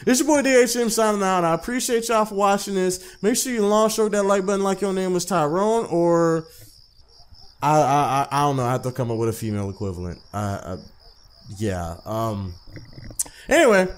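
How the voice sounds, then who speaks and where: A man's voice: sits high, lively intonation, normal speed, smooth. A man hosting a gaming video with casual let's-play commentary, slangy and jokey, often repeating himself. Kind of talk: casual let's-play commentary, reading a visual novel aloud.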